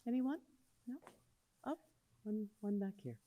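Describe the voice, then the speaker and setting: A woman moderates a forum with a dark, mellow tone. Her medium-pitched voice moves up and down a great deal as she invites questions from the audience. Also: expressive, unhurried.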